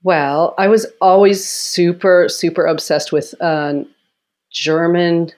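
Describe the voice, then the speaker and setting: A loud, low-pitched woman from Canada shares her story in a relaxed interview. She tells it with energy, laughs often, and acts out what people said. Her voice sits deep for a woman and carries well. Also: takes it slowly. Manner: animated storytelling with laughter and acted quotes. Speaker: Olympic fencer, guest. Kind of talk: casual interview conversation.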